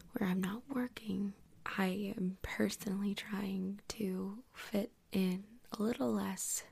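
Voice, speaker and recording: A woman hosting soft-spoken ASMR in a whispery, breathy voice, rambling gently and unhurriedly close to the microphone. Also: mid-range voice. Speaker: host of an ASMR podcast. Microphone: close USB microphone.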